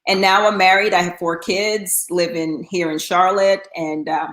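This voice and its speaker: A woman's voice: lowish voice, fairly even intonation, measured pace, fairly bright tone, reverberant, rough recording. A middle-aged woman from Guyana, talking casually as an interview guest, with frequent fillers and restarts. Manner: chatty, with many um fillers and restarts.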